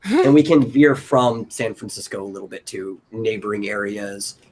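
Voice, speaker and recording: A man's voice: on the low side, moderate pace, a little mellow. A man hosting a casual brainstorming chat, thinking aloud in a loose way. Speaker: host of a brainstorming stream. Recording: noticeable background noise.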